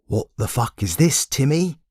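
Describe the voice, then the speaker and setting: A man's voice: mid-range voice, expressive, measured pace, darkish tone, no echo, clean studio sound, pitched above the performer's own voice. A man voicing a blunt, mocking young lad in an audiobook story. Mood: needling. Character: needling, sweary young lad on tour.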